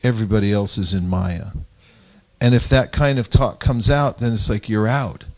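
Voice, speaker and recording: Man, lowish voice, informal spiritual discourse, halting, reflective, explanatory. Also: darkish tone. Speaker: religious teacher in a group discussion.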